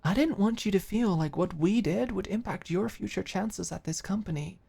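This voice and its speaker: A woman playing an earnest, caring office manager in an intimate ASMR roleplay, speaking haltingly and with open emotion. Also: mid-range voice, vocal fry, husky. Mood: serious, caring. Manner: halting, sighing, emotionally expressive.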